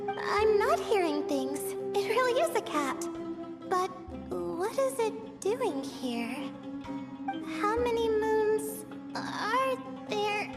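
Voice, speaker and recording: Soft timid sleepy anime game girl, really high voice, talks slow, music behind. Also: very animated, heavily acted. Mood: uneasy. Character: gentle, sleepy, timid young female student in fantasy.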